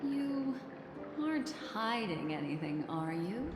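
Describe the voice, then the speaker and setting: An actress voicing a poised, enigmatic woman in a video game, speaking in a measured, coy and politely formal manner. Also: medium pitch, big pitch swings, background noise. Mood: coolly suspicious. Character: poised, enigmatic memory keeper woman, teasing and suspicious. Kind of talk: English video game voice acting. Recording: echoey reverberant hall, music behind.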